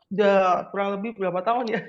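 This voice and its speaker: A woman's voice: mid-range voice, fairly even intonation, racing, crowd noise. An Indonesian woman from Surabaya chatting casually as an interview guest. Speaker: interview guest, piano and English teacher. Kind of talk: casual chat. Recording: muffled lo-fi audio, light noise, clicks.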